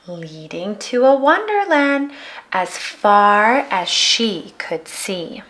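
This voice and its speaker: A woman reading a children's picture book aloud with expressive narration. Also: mid-range voice, slow, slight background noise. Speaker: storybook reader.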